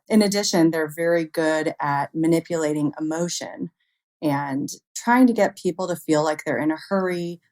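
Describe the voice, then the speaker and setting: A woman speaking as an expert interview guest, explaining things conversationally with everyday examples. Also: sits low. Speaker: interview guest, expert on human error in cybersecurity.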